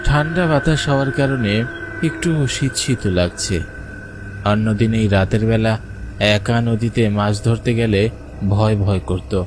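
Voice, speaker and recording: A man's voice: mid-range voice, darkish tone, slight background noise, close to an everyday voice. A man playing a poor, hardworking village fisherman who tells his own cartoon story. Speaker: narrator and lead character. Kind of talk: first-person cartoon story narration with acted dialogue.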